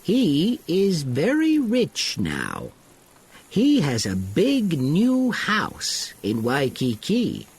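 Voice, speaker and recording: Man voicing a very slow, husky townsman. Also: fairly high pitch, wildly swooping pitch, pitched above the performer's own voice, heavily acted. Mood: impressed.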